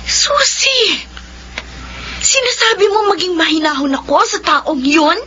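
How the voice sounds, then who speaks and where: Anguished young Filipina, very high bright voice. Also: female voice, a little hiss or hum, full character voice. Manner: emotional, tearful, impassioned. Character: anguished young woman, wronged victim, bitter toward her brother. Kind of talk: Filipino radio drama acting. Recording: dull lo-fi radio, music behind.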